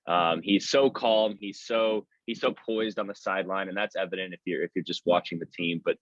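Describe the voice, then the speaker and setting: A man's voice: sits low, steady tone, moderate pace, a bit bright, dry recording, muffled recording. A man speaking as a guest sports announcer in a conversational interview, giving earnest, wordy answers. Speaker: sports radio play-by-play announcer, podcast guest.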